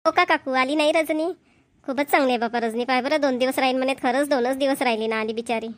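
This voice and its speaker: A woman's voice: high voice, quick pace, lightly acted. A woman voicing a friendly, gossiping village grandmother and neighbour with a Varhadi Marathi accent in an amateur cartoon comedy. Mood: chatty, neighbourly.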